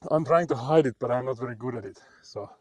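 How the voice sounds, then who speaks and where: A man's voice: medium pitch, fast, darkish tone. A man with a Finnish accent, chatting casually and laughing often while live-streaming on a frozen lake. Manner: chatty, laughs often, reads chat aloud.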